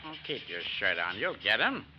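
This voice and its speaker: A grousing American diner cook, sarcastic and slangy, with a wildly swinging pitch. The old radio recording is muffled. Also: male voice, very animated, normal speed, slight background noise. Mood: grumbling. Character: grumbling, wisecracking male short-order diner cook. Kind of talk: old-time American radio drama acting.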